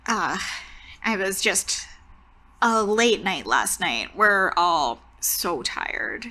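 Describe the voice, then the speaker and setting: A woman playing an aloof loner camp ropes keeper in a tabletop game, evasive and halting. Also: medium pitch, big pitch swings, pushed and bright, exaggerated voice. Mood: guarded.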